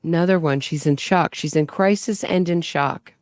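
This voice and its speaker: A woman's voice: deep voice, normal speed, a little mellow, no room sound, clean studio sound. A woman giving skeptical, wry commentary on a true crime case and speaking straight to her audience. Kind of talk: casual commentary over interrogation clips.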